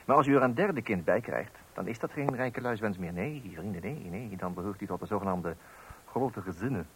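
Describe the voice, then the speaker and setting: An actor playing a mild, easily unsettled new father in his forties in a radio play, with a hesitant, halting way of talking. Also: fairly low pitch, animated. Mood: wry, confiding. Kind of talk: radio play acting and wry narration. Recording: noisy old radio play recording.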